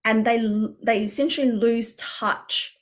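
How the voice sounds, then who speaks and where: A woman's voice: on the high side, measured pace. A woman from Vietnam, guest on a podcast as a coach, talking in an earnest, reflective way with many fillers and restarts. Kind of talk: podcast interview.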